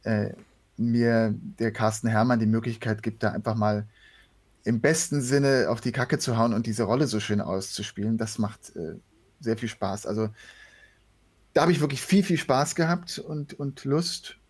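A man speaks as the guest in a casual studio interview. His voice sits at a medium pitch, and he. He talks as a working voice actor would in relaxed conversation. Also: moderate pace.

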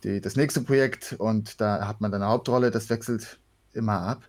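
A man's voice: on the low side, fairly even intonation, moderate pace, a little mellow. A man who works as an actor and voice actor, answering interview questions casually. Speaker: guest, actor and voice actor.

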